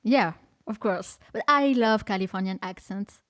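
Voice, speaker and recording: A woman's voice: medium pitch, moderate pace, fairly bright tone, dry recording, studio quality. A woman from France with a French accent, hosting a conversational podcast interview in English as a non-native speaker. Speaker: podcast host.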